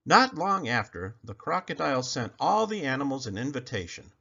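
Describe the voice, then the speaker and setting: A man reading a children's picture book aloud in an expressive, animated storytelling manner. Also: medium pitch, normal speed, vocal fry, husky. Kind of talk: children's read-aloud narration. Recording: slightly muffled recording.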